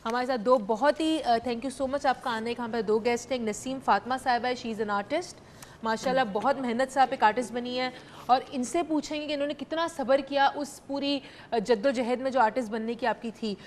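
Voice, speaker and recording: A woman's voice: fairly high pitch, steady tone, moderate pace, slight background noise. A young woman with a Pakistani accent hosting a live call-in TV show, chatting freely in Urdu laced with English. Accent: Pakistani Urdu, mixing in English. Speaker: host. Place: TV studio.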